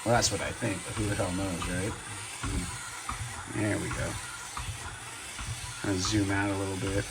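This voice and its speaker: A man with a hoarse voice, deepened by a cold, hosts a relaxed show-and-tell video. He rambles along in an easygoing way, full of fillers and side remarks. A faint hum of noise sits under the recording. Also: measured pace. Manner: chatty and rambling, many fillers and asides. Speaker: toy robot collector and channel host. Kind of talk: casual show-and-tell vlog.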